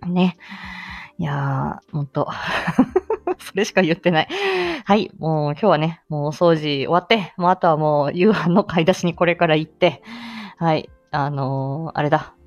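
A woman's voice: low voice, normal speed, a little mellow, muffled recording, soft background music. A woman hosting a casual personal audio stream, chatting in a giggly, self-deprecating manner. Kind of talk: casual chat.

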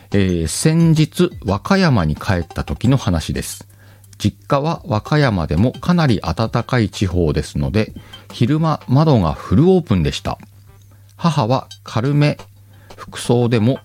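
A man's voice: mid-range voice, darkish tone. A man, hosting a casual chat show, reading listener letters aloud and chatting about them, often laughing. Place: home recording.

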